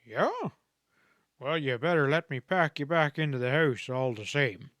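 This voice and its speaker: A man's voice: mid-range voice, a little slow, dry recording, clean studio sound, lightly acted. Man voicing a folksy, caring frontier father. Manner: folksy, drawling, gently joking. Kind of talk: Western audiobook character voice.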